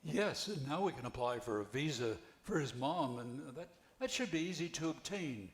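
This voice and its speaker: A man's voice: on the high side, lively intonation, moderate pace, lightly acted. Older man, earnest helpful widower as a man reads him in a play, stumbling delivery, echoey recording. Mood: earnest, concerned. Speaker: amateur actor reading a play. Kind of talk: scripted play reading. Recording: echoey, roomy amateur recording.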